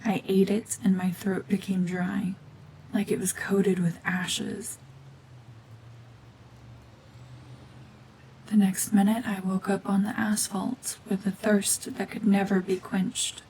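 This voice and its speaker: A woman voicing a cold, mean, world-weary cyborg witch and war veteran in a hushed, breathy, brooding, deliberate ASMR drama monologue. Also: mid-range voice, flat delivery, slight background noise, close to an everyday voice, rough recording. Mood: haunted, bitter.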